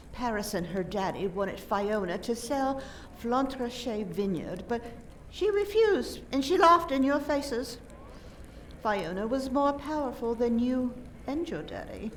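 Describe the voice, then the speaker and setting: A woman's voice: a little high, a little hiss or hum, full character voice, poor audio. A woman acting in a radio play as an indignant, haughty murder suspect, emphatic and melodramatic.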